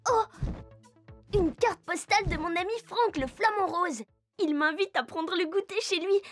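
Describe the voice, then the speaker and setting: An excitable little girl in a children's cartoon. The voice sits extremely high and sounds eager and curious, as if inviting young viewers along. Music plays clearly behind it. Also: exaggerated voice. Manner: enthusiastic, wide-eyed, addresses young viewers directly. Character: Jasmin, cheerful curious little girl who befriends animals. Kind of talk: children's cartoon dialogue and narration.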